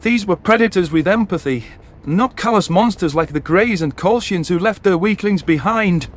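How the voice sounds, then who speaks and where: A man's voice: high voice, normal speed. A man narrating a science fiction story in the first person with dramatic delivery. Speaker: audiobook narrator. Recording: narration over background music.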